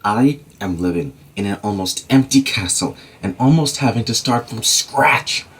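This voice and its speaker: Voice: mid-range voice, animated, moderate pace, fairly bright tone, husky. A voice actor playing Macduff, a weary, grief-struck Scottish nobleman who speaks in a solemn, formal, old-fashioned way in an amateur audio drama. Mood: somber.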